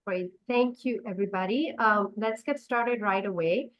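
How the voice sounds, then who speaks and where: Woman cohost, polite and brisk, mid pitch, dry room. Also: fairly bright tone, dry recording, low-quality recording. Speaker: co-moderator. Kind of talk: introducing remote panelists.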